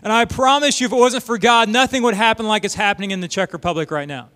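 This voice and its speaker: Middle-aged guy from oklahoma preaching, pretty high voice, lively storytelling, big church reverb. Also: fast talker. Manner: animated storytelling with voiced asides. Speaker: missionary pastor. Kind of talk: sermon. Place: church sanctuary. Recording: long hall reverb.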